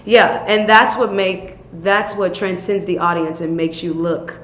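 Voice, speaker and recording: A young woman from Chicago, an actress, talking animatedly and fast in an interview, often laughing as she tells stories. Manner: animated, quick, laughing storyteller. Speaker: stage actress. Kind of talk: interview conversation. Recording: echoey room with faint rumble.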